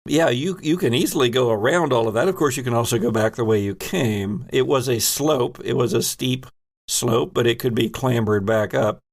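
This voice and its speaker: Man with a gravelly, creaky voice. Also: mid-range voice, normal speed. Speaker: game master and host. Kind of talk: running a tabletop horror role-playing game.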